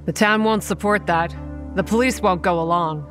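Horror podcast lead: actress as a hot-headed young woman running a campground, lower register, earnest dramatic narration with bite, over faint music. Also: normal speed, lightly acted. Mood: angry, defiant. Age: young adult. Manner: earnest, dramatic storytelling with sardonic edge. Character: young woman campground manager, guarded, hot-tempered, stubborn. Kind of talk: horror audio drama, first-person narration and dialogue.

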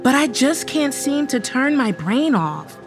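A young woman acting a sleepless, stressed college student, with an expressive, anxious delivery in an audio drama. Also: sits high, measured pace, music in the background, heavily acted. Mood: weary, apologetic. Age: young adult. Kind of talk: dramatic dialogue in old time radio style audio play.

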